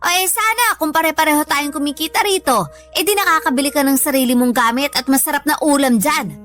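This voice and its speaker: A woman's voice: high voice, fast talker, a bit bright, faint music behind. A woman with a Filipino accent playing a hardworking, long-suffering older mother in a radio drama, plaintive and motherly. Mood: hurt, scolding.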